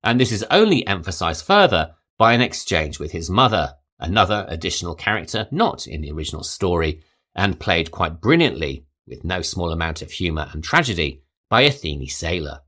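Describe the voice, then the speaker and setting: A man, hosting a podcast and reading a scripted film commentary in a measured, articulate way. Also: low voice, sing-song, sharp tone. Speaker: podcast host.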